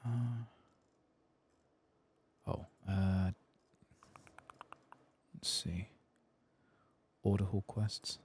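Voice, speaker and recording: A soft-spoken man hosting an ASMR game playthrough, muttering to himself and at times dropping to a whisper. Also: on the low side, fairly even intonation, extremely slow, pauses often, husky. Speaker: host of a game playthrough channel.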